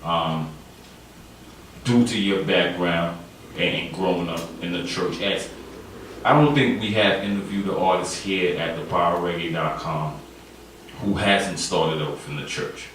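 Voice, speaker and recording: A very deep-voiced man hosting a radio interview, halting and repeating words as he builds each question. He sounds distant from the mic, in a heavily echoing space with faint noise underneath. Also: fairly bright tone. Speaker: radio show host. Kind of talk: interviewing a guest.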